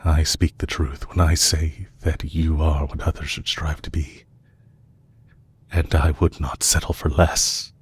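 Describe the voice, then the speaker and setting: A man playing a composed, courteous villain leader who is possessive and lovestruck, speaking formally and deliberately in an intimate romantic audio roleplay. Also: extremely low pitch, little pitch movement, slightly rough, heavily acted. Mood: earnest, possessive. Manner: formal, deliberate, courtly phrasing with long pauses.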